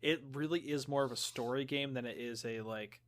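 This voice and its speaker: A man with a medium-pitched voice talks as a podcast co-host. His delivery is casual and informal, rambling through explanations as if chatting with friends. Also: a little fast, a bit bright. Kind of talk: casual podcast chat about games.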